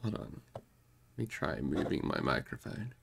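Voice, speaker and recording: A man's voice: very deep voice, very animated, moderate pace, gravelly. A man narrating a book aloud on a livestream, moving between storybook reading and casual chat with listeners.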